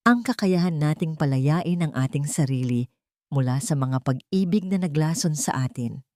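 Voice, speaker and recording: A woman's voice: low voice, animated, moderate pace, dark tone, no echo, clean studio sound. A woman voicing a thoughtful, once long-suffering woman confiding in a friend in an audio story, reflective and emphatic. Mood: reflective, hopeful.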